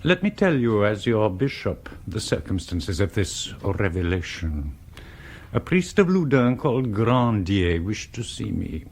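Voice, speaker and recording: A tired old bishop in a radio play, really slow and husky, preachy, sick of mankind. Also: male voice, lowish voice, sing-song, a little mellow, full character voice. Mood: weary, solemn. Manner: slow, portentous, rhetorical. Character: weary ascetic old male bishop, disgusted at mankind. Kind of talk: radio drama monologue.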